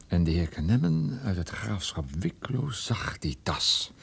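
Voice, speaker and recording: An actor playing a wry, musing private detective in a radio play, speaking in a hesitant, ironic, conversational way. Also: male voice, deep voice, sing-song, a little slow, gravelly, husky, exaggerated voice. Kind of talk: radio drama dialogue.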